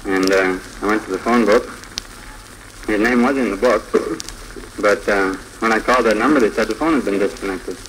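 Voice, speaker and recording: A man in his thirties telling his story as a radio interview guest, in a plain, halting way. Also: on the low side, a bit bright. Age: 30s. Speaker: bridegroom, show guest. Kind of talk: unscripted personal storytelling in interview. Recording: faintly noisy 1940s radio recording.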